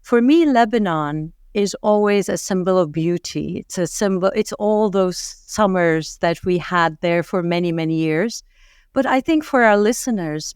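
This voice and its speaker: Low-voiced, eager woman podcast host. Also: darkish tone, smooth, no room sound, studio quality. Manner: eager and encouraging toward her guest. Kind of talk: interview hosting.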